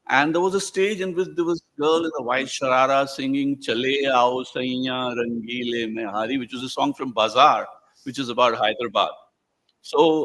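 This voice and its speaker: Male moderator, Indian accent, mid-pitched voice. Conversational and digressive, halting delivery for a live book panel. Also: fairly bright tone, poor audio. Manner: digressive, halting, fond of lists. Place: live event before a small audience.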